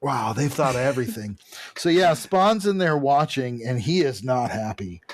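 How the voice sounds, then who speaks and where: A man's voice: mid-range voice, animated, normal speed. A man co-hosting a podcast, casually recapping comics in an irreverent, profane, jokey way. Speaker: podcast co-host.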